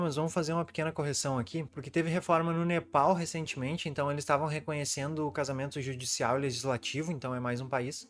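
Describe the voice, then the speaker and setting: Fast-talking man. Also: a little high. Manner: chatty, fast, peppered with "né" and "cara". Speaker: YouTube host. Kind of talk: casual commentary over maps.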